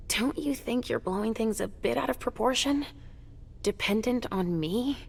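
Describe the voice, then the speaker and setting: A woman's voice: on the high side, expressive, normal speed, gravelly, slightly rough, exaggerated voice. A woman playing a troubled, fragile vampire mother in a dramatic game cutscene, with a hesitant, emotional delivery. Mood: hesitant, doubtful. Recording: faint music behind the voice.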